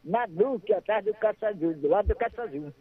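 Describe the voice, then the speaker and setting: A man's voice: high-pitched, animated, fairly quick. A man, calling in to a radio show and chatting casually, repeating himself and laughing easily. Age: 60s. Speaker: radio call-in caller. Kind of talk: casual phone chat. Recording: tinny phone line.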